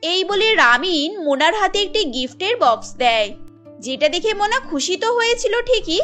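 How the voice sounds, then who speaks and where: A woman's voice: very high-pitched, sing-song, normal speed, pushed and bright, soft background music. A woman narrating a scripted cartoon story as its storyteller.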